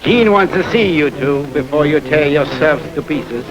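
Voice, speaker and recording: An actor playing a surly, hot-tempered gangster who talks in a blunt, sneering, resentful way, in 1930s crime film style. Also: male voice, on the high side, animated, moderate pace, over music, lightly acted, rough recording.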